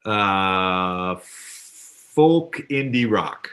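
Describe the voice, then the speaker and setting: A man's voice: sits low, animated, extremely slow, a bit bright, smooth. A man answering questions casually as an interview guest.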